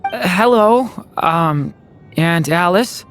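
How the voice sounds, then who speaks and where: A woman playing a gentle, earnest young boy in a video game, speaking politely and a little hesitantly. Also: lowish voice, animated, slow. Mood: uncertain. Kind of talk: video game character acting. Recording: loud game music behind.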